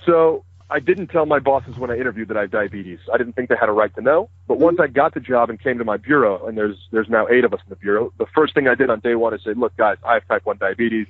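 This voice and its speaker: A man's voice: mid-range voice, fast, hardly any pauses, husky, no echo. A man in his thirties, a guest in an interview, speaking openly and matter-of-factly. His first language is Hebrew. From United States. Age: 30s. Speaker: interview guest, news correspondent. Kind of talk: phone interview conversation. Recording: dry, tinny phone line.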